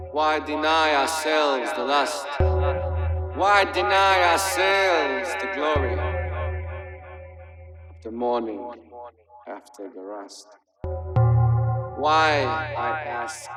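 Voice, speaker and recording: A zealous hedonist preacher, played by a man, chants a slow, theatrical sermon for a radio play. The voice echoes heavily over loud music, with drawn-out, incantatory rhetoric. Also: medium pitch. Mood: pleading, brooding. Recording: echoing, loud music behind.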